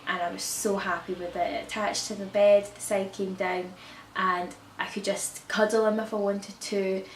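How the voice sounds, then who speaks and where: A woman with a croaky voice from a cold, chatting casually to camera about baby products and often correcting herself. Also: medium pitch, little pitch movement, normal speed. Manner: rambling, self-correcting, chatty. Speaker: parenting vlogger. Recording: echoey room, distant mic, faint hiss.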